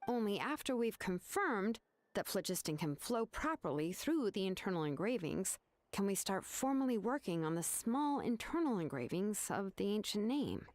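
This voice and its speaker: An actress voicing a laid-back young craftswoman who explains things in a relaxed, matter-of-fact way. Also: mid-range voice, moderate pace, dry recording, lightly acted. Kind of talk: video game voice acting.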